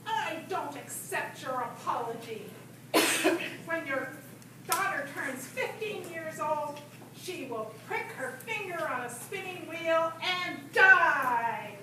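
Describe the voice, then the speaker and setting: Woman playing an angry witch, high and swooping. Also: expressive, takes it slowly. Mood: menacing. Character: angry, mean, nasty witch. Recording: very echoey room, far off, loud noise.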